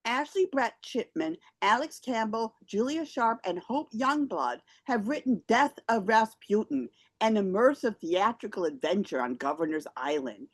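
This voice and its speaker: Female host and theater critic, medium pitch, reading prepared reviews. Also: sing-song, a little slow, hardly any pauses. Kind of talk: scripted review read aloud.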